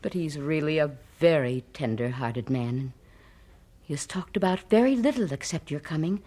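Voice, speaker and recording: An actress playing a gracious, eager preacher's wife in an audio drama, genteel and reassuring. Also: female voice, sits low, lively intonation, normal speed, mellow.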